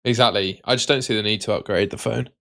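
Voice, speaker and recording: A man hosting a live stream with casual, matey chat that can turn excitable and sing-song. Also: fairly low pitch, fast talker, vocal fry. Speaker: livestream host.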